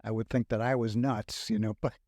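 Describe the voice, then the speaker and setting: An older man from Brooklyn with a New York accent, talking reflectively as a podcast guest in a studio, pausing often with fillers. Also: lowish voice, little pitch movement, a little mellow, no room sound. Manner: reflective, halting with many fillers. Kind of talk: casual podcast conversation. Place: recording studio. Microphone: close studio mic.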